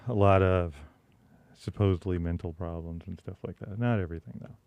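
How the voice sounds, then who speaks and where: A man co-hosting a talk show, speaking haltingly with many fillers and chuckles. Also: sits low, steady tone, vocal fry, a little mellow, no echo. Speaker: co-host. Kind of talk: casual talk show chat.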